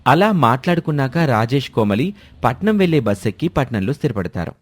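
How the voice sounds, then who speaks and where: A man's voice: mid-range voice, big pitch swings, brisk. A man delivering scripted narration as the narrator of a story.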